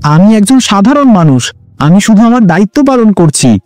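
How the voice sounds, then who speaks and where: A woman's voice: mid-range voice, sing-song, moderate pace, dark tone, dry recording, soft background music, voice pushed up, close to an everyday voice. A man voicing a once-proud young princess who now speaks earnestly and humbly in a storybook tale. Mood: gentle.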